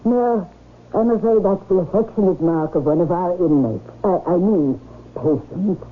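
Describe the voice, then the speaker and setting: An actress playing a soothing, patronising sanitarium nurse with a measured, coaxing manner in old-time radio drama style. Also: female voice, lowish voice, close to an everyday voice. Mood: coolly insistent. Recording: noisy, tinny radio drama recording.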